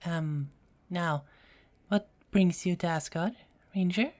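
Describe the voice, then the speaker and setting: Slow, mellow, teasing goddess played by a woman. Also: lowish voice. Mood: playful, teasing. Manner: teasing, chuckling, unhurried. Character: teasing, self-assured Norse goddess, playful and soothing. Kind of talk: ASMR roleplay audio drama.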